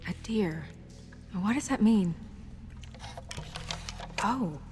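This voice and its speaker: A serious young woman of authority in a video game, with a mid-pitched voice. She is businesslike and guarded, with worry under the composure. Music runs underneath. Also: lively intonation, very slow, a little mellow. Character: serious, composed, worried young female official investigating.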